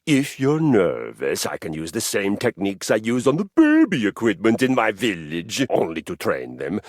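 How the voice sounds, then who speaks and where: Game role: a pompous, fight-hungry male Viking exercise machine; low, husky, gravelly, slow and booming. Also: huge pitch swings, no room sound, clean studio sound, heavily acted. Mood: boastful. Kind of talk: video game voice acting.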